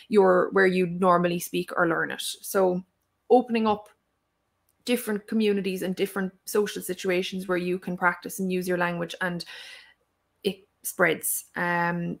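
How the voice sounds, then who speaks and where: Young Irish woman teacher, earnest and thoughtful, gravelly fry, flat steady delivery. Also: fairly low pitch. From Ireland. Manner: thoughtful, earnest, many fillers. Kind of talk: live stream Q&A answer.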